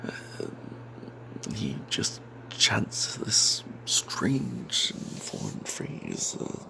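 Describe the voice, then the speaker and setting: A man voicing a roguish, money-minded cleric who tells a fantasy tale in breathy, confiding whispers with snide asides. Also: on the low side, wildly swooping pitch, extremely slow, background noise, heavily acted. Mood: sly confiding. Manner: confiding, hesitant, sardonic asides. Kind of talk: first-person fantasy tale told in whispers, ASMR.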